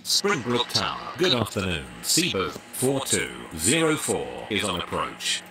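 A man's voice: on the low side, huge pitch swings, a little slow, heavy background noise, exaggerated voice. A man playing a pilot and tower controller in a game, delivering formulaic radio phraseology in a businesslike manner. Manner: formulaic, procedural. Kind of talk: scripted aviation radio phraseology.

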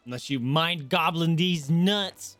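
A man's voice: a little high, slow, fairly bright tone, faint music behind. A man casually reacting to a video game as he streams it, irreverent, joking and slangy.

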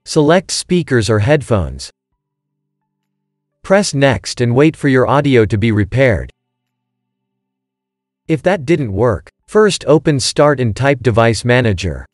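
A man's voice: medium pitch, big pitch swings, slow pace, pauses often, dry recording, clean studio sound. A man reading scripted step-by-step instructions in short commands, as a tutorial narrator. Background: background music.

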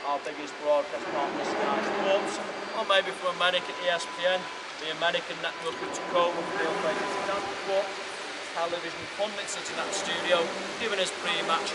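A man, guiding a group on a stadium tour and calling them folks. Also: sits high. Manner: upbeat, addresses the group as folks. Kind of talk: guided tour talk. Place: stadium stands and brick corridors. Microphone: filmed on a visitor's camera from a distance. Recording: distant camera audio, loud crowd noise.

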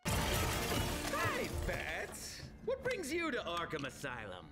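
Casting: gleeful clown arch-villain in a game cartoon, very high, wildly swooping, slow teasing delivery, music behind. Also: male voice, huge pitch swings, exaggerated voice. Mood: mocking glee. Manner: teasing, mock-innocent, drawn-out. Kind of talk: animated game cutscene acting.

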